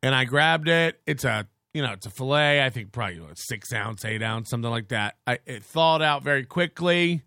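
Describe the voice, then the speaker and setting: A man's voice: mid-range voice, expressive, moderate pace, few pauses, sharp tone, clean tone, no echo, studio quality. A man hosting a podcast in casual, rambling chat, crude and joking, with lots of restarts. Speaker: podcast host.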